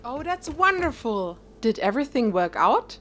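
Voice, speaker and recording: A woman playing a gentle but firm mother in an audio drama, reassuring and earnest. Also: a little high, big pitch swings, moderate pace. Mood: concerned. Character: gentle, encouraging, firm middle-aged mother.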